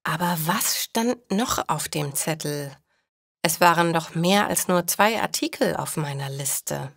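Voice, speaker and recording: A woman's voice: low-pitched, a little slow, vocal fry, fairly bright tone, no room sound, studio quality, exaggerated voice. A woman voicing a forgetful female shopper who muses to herself in a storybook for language learners. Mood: musing. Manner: self-talking, musing.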